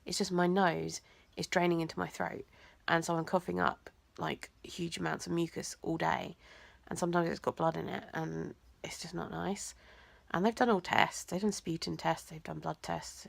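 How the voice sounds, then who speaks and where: A young woman, talking in a rambling, hesitant way in a personal vlog, nasal and croaky from blocked sinuses. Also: low voice, flat delivery, moderate pace, dry recording. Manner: rambling, hesitant, many ums and likes. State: congested, teary. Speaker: vlogger.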